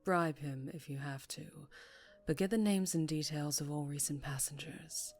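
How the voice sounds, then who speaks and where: A woman playing a dangerously charming female mafia boss in an ASMR roleplay, teasing and deliberate, often slipping into whispers. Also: low-pitched, steady tone, a little mellow, soft background music. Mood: commanding, hushed.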